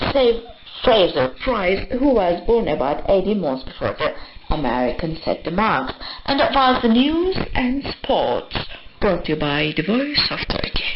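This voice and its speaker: A woman reading scripted news and features as a radio presenter, heard over shortwave. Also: mid-range voice. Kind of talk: scripted broadcast narration. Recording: narrowband, noisy shortwave radio reception.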